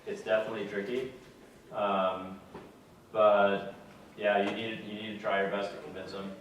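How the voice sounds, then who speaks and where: A young man giving a casual talk to a student audience, relaxed and plain-spoken with many fillers. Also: lowish voice, moderate pace, a little hiss or hum. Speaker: guest speaker. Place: lecture room with audience. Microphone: far camera. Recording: echoey room from far off.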